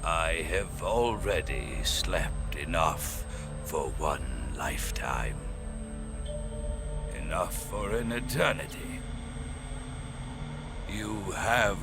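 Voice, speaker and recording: An ancient, frail old sniper. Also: male voice, deep voice, lively intonation, full character voice. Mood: solemn. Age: older. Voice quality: hushed, drawn-out, reverent. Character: ancient frail male sniper, solemn, near death. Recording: game soundtrack music behind.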